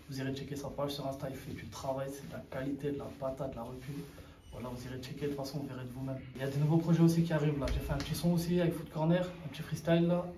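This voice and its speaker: A young man in his twenties talks quickly in loose street slang, sprinkling filler tags through his lines. His voice sits a touch high for a man. The sound is roomy and picked up from a little way off the mic, with faint street noise behind. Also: dark tone, far from the mic. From Sri Lankan heritage, raised in France. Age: 20s. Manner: fast slangy talk, full of filler tags. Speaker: rapper interviewee. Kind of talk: casual street interview. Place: outdoors in a neighborhood.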